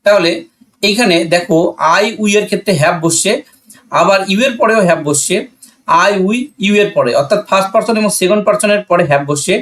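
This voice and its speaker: A man's voice: high-pitched, normal speed, fairly bright tone. A man with a Bangladeshi accent teaching a grammar lesson, asking and answering his own questions and repeating key points. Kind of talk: classroom-style grammar lesson explanation.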